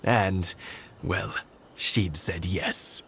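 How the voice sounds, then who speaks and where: A man voicing a kindly, sentimental elderly husband in a video game, gentle and a little hesitant as he tells his stories. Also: low-pitched, steady tone, extremely slow, vocal fry, slight background noise, heavily acted. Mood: wistful, tender. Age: older. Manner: gentle, hesitant, wistful storytelling. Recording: music behind the voice.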